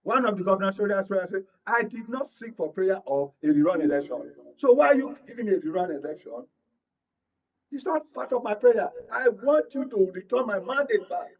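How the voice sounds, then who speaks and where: A Nigerian man with a fairly high voice speaks as a guest analyst in a political discussion. He lays out his points in an explanatory, deliberate way. The sound is slightly dull and lo-fi. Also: very animated. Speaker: media analyst, panel guest.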